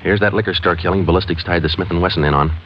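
A man's voice: sits low, fairly even intonation, rapid-fire, a little hiss or hum, natural voice. An American man playing a stoic police detective in a 1940s radio drama, speaking in a clipped, matter-of-fact way. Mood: terse, sober. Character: stoic police detective narrating his own case. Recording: dull 1940s radio recording, loud music.

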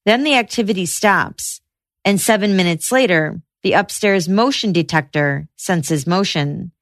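A woman podcast host narrating trial news in a measured, reportorial way. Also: fairly low pitch, no echo, clean studio sound.

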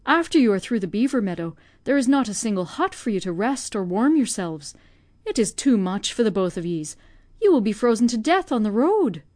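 A woman's voice: fairly high pitch, sing-song, fairly quick, darkish tone, no echo. A woman reading aloud, voicing an old, tender-hearted Irish servant woman with an Irish accent. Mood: worried. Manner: lamenting, emotional.

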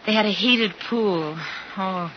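A woman's voice: mid-range voice, slow pace, lightly acted. Actress as a gushing, prim young radio-drama woman, muffled. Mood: chatty, hesitant. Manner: gushing, flustered, old-fashioned exclamations. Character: chatty, prim, homespun young woman train passenger. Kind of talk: 1950s radio drama acting. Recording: muffled, noisy 1950s radio recording.

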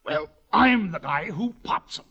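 A man playing a gleefully mean stocking-ruining villain in a raspy, exaggerated voice, in a 1940s radio commercial. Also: sits high, expressive, unhurried, exaggerated voice. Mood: gloating. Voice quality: raspy, strained character voice. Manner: gloating, exaggerated. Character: gleefully mean male villain who pops stocking runs. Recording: muffled vintage 1940s radio recording.

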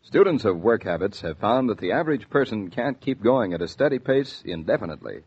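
A man with an American accent and a husky voice reading a 1940s radio advertisement as announcer. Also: on the low side, big pitch swings, brisk, a little mellow. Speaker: commercial announcer. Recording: dull, lo-fi 1940s radio recording.

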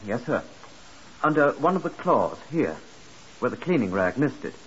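An actor playing an earnest, dutiful young police constable in a 1950s radio crime drama, respectfully and carefully reporting. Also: male voice, mid-range voice, very animated, normal speed, close to an everyday voice. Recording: muffled, hissy 1950s radio broadcast.

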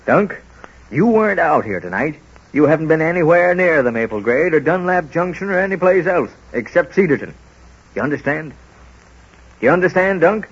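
A kindly, wise country doctor with an American accent. His voice is very husky and swings freely in pitch, firm yet folksy. It sounds like muffled old-time radio drama. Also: big pitch swings, exaggerated voice. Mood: stern but kindly. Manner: folksy, halting, gently authoritative. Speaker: lead actor in a radio serial.

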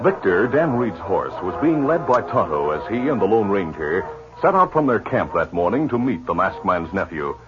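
A man narrating a 1950s radio western drama in a brisk, measured storytelling manner. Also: fairly low pitch, big pitch swings, loud music behind the voice. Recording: lo-fi old radio recording.

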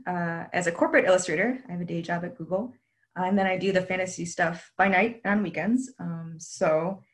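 A woman's voice: lowish voice, creaky. A woman in her thirties, an illustrator speaking modestly and friendly with fillers on a call. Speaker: illustrator guest. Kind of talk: panel discussion on a call.

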